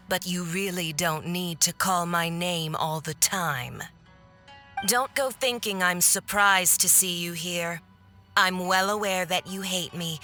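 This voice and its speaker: A woman's voice: lowish voice, sharp tone, heavily acted. A woman playing a haughty, mocking villainous diplomat in a video game, with a condescending, theatrical drawl. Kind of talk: video game cutscene voice acting.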